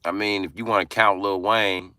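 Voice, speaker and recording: A young adult man ranting angrily and forcefully on a livestream from inside his car. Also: sits low, fairly even intonation, moderate pace, no room sound, studio quality. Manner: angry, forceful, profane ranting. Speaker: livestream host. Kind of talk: angry profane livestream rant.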